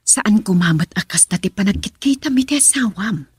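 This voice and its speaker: A concerned older mother in a Filipino radio drama. She is very husky and breathy, admonishing with wide swings of pitch, in a dry recording. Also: lively intonation, normal speed, a little mellow, no room sound. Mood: reproachful.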